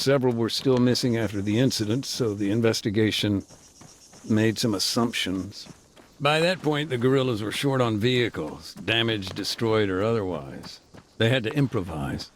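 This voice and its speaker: A middle-aged man voicing a frank former combat engineer turned demining worker, measured and matter-of-fact in a game cutscene. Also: mid-range voice, a little hiss or hum, close to an everyday voice. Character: weary male ex-soldier turned deminer, frank. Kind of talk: voiced game cutscene dialogue.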